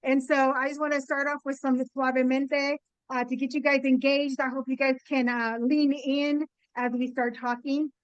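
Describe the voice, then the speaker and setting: Upbeat woman in her 50s, spanish is her first language, kinda high voice, chatty webinar talk, dry sound. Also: little pitch movement, normal speed, no echo, muffled recording. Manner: upbeat, chatty, lots of fillers and restarts, addresses "you guys". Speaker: guest speaker. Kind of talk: webinar presentation. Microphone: video call.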